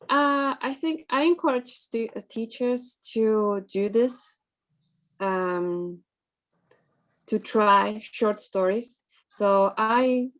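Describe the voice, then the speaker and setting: A woman presenting at an online teaching conference, speaking informally over a video call and often breaking off and restarting her sentences. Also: medium pitch.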